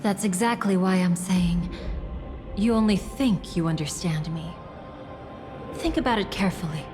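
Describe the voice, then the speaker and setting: A woman's voice: on the low side, moderate pace, lightly acted. An actress voicing a scheming, theatrical young woman in a video game, playful and mocking, with music underneath. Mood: taunting. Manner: playful, mocking, dramatic swings in tone.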